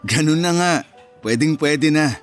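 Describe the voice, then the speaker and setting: An actor playing an earnest, romantic young boyfriend in a Filipino radio romance drama, talking in a sincere, conversational way. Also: male voice, on the high side, measured pace, faint music behind, lightly acted.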